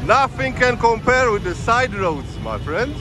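A man's voice: very high-pitched, big pitch swings, unhurried. A man from Romania, not an English speaker, chatting as he walks a city street, searching for words and laughing often. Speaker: walking tour vlogger. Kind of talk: casual commentary while walking. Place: busy city street outdoors. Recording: loud street traffic noise. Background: traffic and street bustle.

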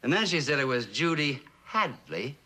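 A man playing an earnest, probing police lieutenant in a mid-century TV drama, speaking in a measured, questioning way. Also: on the high side, sing-song, sharp tone, heavily acted.

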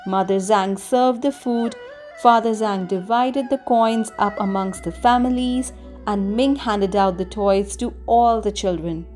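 A woman narrating a scripted children's story with expressive, deliberate phrasing. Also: mid-range voice. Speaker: storybook reader. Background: music.

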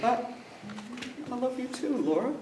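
A man's voice: extremely high pitch, sing-song, unhurried, a loud crowd, full character voice. A young man on stage playing a sheepish, good-natured sitcom husband in an earnest, theatrical style. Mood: reassuring. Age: young adult. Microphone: far camera. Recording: very echoey noisy theater, distant camera.

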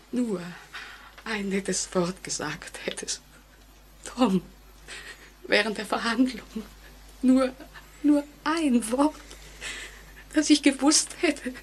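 Casting: guilt-ridden, frightened woman, higher register, halting and slow, 1940s radio melodrama, hissy vintage recording. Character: terrified, guilt-ridden woman confessing in a thriller. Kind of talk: 1940s German radio play acting. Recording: noisy old 1949 radio recording.

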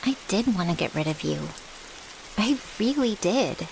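A woman's voice: medium pitch, animated, unhurried, gravelly, rough recording. A woman playing a cheeky, loyal vampire's servant in an intimate ASMR audio roleplay, with a casual, teasing way of talking. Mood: teasing, smug. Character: cheeky, flirty, loyal female thrall of a vampire. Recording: hissy, rain-like background noise.

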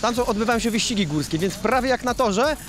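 A man with a high, bright voice talks in an upbeat, rambling way, full of fillers, as he answers questions at an outdoor rally service park. His words go into a handheld reporter mic, and music plays clearly underneath. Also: expressive, fast talker. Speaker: rally driver. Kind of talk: post-stage interview.

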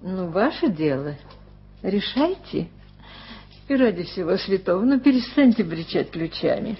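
An actress playing a brisk, practical middle-aged housekeeper in a radio play, with a husky voice. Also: female voice, medium pitch, big pitch swings, full character voice. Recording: dull lo-fi radio play recording.

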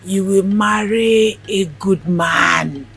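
An actress playing an elderly Nigerian grandmother near the end of her life, speaking slow, solemn blessings. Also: medium pitch, creaky, a loud crowd. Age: older. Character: elderly village grandmother, gentle, near death.

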